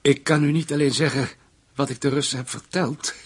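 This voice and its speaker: An actor playing an anxious, cornered German ex-SS husband who bargains, in a hoarse, gravelly voice, in a radio drama. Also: male voice, medium pitch, steady tone, a little fast. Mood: tense, bargaining. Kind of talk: Dutch radio drama acting.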